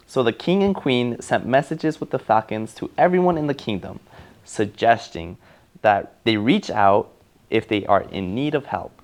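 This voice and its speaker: A man narrating a scripted children's fairy tale as a storyteller. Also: mid-range voice.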